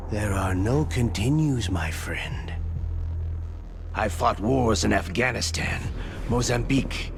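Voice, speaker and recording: An actor playing a sadistic, theatrical Russian veteran interrogator in a video game, gravelly and menacing, savouring his pauses. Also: fairly low pitch. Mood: gloating, menacing. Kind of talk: video game cutscene acting. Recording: music under the voice.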